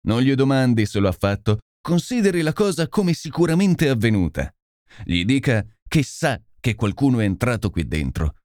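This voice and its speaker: A male narrator voicing an intense, brilliant detective from a classic mystery audiobook. He gives orders in a commanding, urgent voice with lively intonation. The sound is dry and close. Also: medium pitch, normal speed, no echo, close to an everyday voice.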